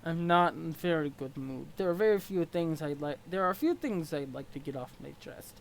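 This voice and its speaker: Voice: mid-range voice, expressive, moderate pace, gravelly, rough recording. A reader voicing a laid-back, foul-mouthed male troll clown with a lazy drawl. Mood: mellow.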